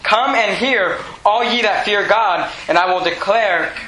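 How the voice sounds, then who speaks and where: A man in his twenties preaching an impassioned sermon with scripture readings, speaking in an emphatic, repetitive way that often pushes toward shouting. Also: high-pitched, unhurried. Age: 20s. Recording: echoey room with faint noise.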